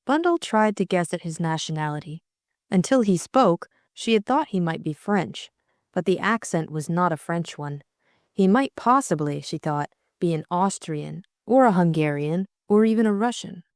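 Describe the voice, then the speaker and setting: A woman narrates a classic thriller audiobook in a scripted, dramatic storytelling style. Her voice is a little low, and it is recorded very dry. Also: expressive, moderate pace, dry recording.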